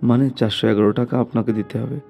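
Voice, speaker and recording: A man's voice: mid-range voice, fairly even intonation, fast talker, creaky, mellow, soft background music, natural voice. A man voicing a businesslike male doctor who minds his fee in a cartoon story, with matter-of-fact delivery. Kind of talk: scripted cartoon story acting.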